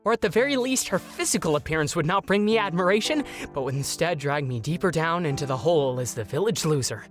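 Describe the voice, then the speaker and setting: A man's voice: on the high side, lively intonation, fast, soft background music, close to an everyday voice. A man playing an anxious, bookish young prince in a dramatic fandub, with a hesitant, overwrought delivery. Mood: despairing, dramatic. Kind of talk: dramatic fandub acting with inner-monologue narration.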